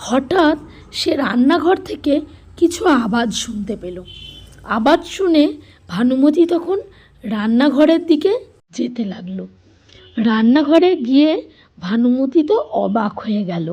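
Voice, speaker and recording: A woman narrating a scripted children's story as a storyteller, with a slightly husky voice. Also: high-pitched, animated, unhurried, long pauses, dark tone.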